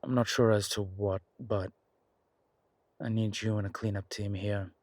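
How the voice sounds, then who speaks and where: A man playing a commanding male incubus demon investigator in an audio roleplay, terse and colloquial, with a low, husky voice. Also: little pitch movement, unhurried, darkish tone, no echo. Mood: grim, insistent. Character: gruff, urgent male incubus demon investigator, protective, wryly friendly. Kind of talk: immersive audio roleplay acting.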